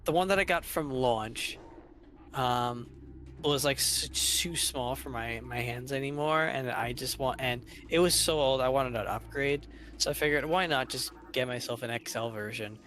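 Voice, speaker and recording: A man in his twenties co-hosting a game session, telling stories in a halting, meandering way. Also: medium pitch, fairly bright tone, slight background noise. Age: 20s. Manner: halting, meandering, restarts often. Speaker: co-host. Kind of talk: casual chat.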